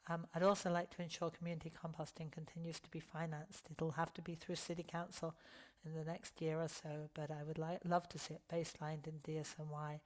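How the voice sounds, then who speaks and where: A hoarse woman who has lost her voice, giving halting testimony at a public hearing with frequent ums and restarts. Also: deep voice, flat delivery.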